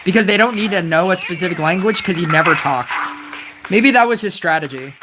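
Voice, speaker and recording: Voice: mid-range voice, fairly quick. A mobile gaming streamer chatting casually while reading viewer messages, rambling with lots of "like" fillers and restarts, and adding wry, amused asides. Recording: noisy stream audio with clicks.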